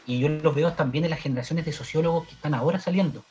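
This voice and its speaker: Halting Chilean man, muffled audio. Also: fairly high pitch, a little mellow, soft background music. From southern Chile. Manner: halting, many restarts and fillers. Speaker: sociologist guest. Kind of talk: conversational interview answer.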